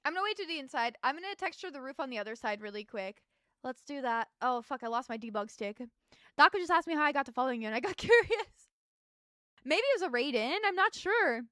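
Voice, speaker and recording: A woman's voice: sits high, lively intonation, fast, fairly bright tone, dry recording, studio quality. A young adult woman streaming as a VTuber, chatting casually with her chat while she builds in a game and thinks aloud.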